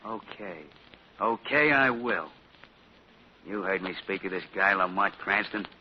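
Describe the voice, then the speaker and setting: An actor playing a rough male crook with street talk who claims to see the future, on a muffled 1940 radio broadcast. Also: deep voice, a little slow, slight background noise. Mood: sly. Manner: street talk, jumpy then sly.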